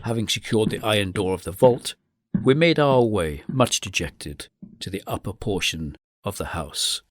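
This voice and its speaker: A man acting a solemn, melancholy gentleman narrator in a literary gothic radio drama. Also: on the low side, expressive, moderate pace, dry recording. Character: melancholy gentleman narrator recalling his friend's descent into madness.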